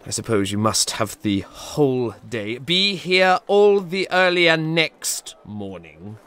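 An actor playing Scrooge, a bitter old miser who talks in a cold, dismissive, sneering way. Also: male voice, mid-range voice, big pitch swings, takes it slowly, fairly bright tone, slight background noise. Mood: grudging. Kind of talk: audio drama acting.

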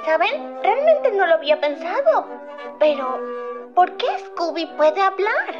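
A woman's voice: extremely high pitch, sing-song, a little slow, over music, exaggerated voice. A woman with a Latin American accent voicing a clever, inquisitive teenage girl in a classic cartoon fan dub. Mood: puzzled. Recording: dull, band-limited old-cartoon sound.

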